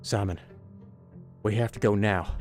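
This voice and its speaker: A man's voice: lowish voice, steady tone, unhurried, darkish tone, faint music behind, close to an everyday voice. A man playing a grave older uncle, a survivalist veteran, in a horror audio story. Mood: grave, urgent.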